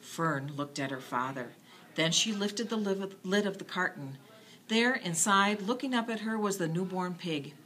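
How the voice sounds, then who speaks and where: A woman's voice: lowish voice, moderate pace, a bit bright. A woman narrating a children's bedtime story expressively.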